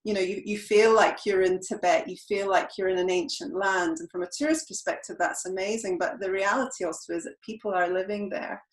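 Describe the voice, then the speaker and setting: A woman's voice: mid-range voice, brisk, few pauses. A woman from the UK with a British accent, giving an informal, reflective presentation as a charity representative and explaining as she goes. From United Kingdom. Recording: roomy, echoing sound.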